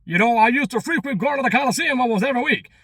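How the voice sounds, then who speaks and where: A man in his thirties with a notably high voice and a Long Island accent. He rambles casually to his vlog viewers, emphatic and repetitive, in a dry recording with little room sound. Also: lively intonation, very fast, a bit bright, breathy edge, dry recording. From Long Island, New York. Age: 30s. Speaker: vlogger.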